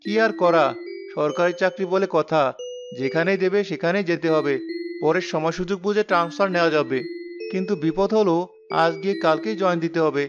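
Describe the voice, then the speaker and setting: A young city doctor in a cartoon ghost story, acted by a man, with a notably high male voice. He is brisk, practical and a little impatient, with clear music behind him. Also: dull audio, lightly acted. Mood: pleased, resigned. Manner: matter-of-fact, slightly impatient.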